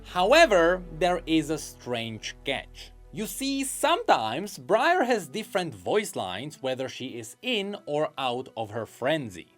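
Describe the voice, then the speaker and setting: A man's voice: fairly high pitch, wildly swooping pitch, takes it slowly, few pauses, a bit bright, faint music behind. A man narrating a scripted commentary video about game character lore, eager and explanatory.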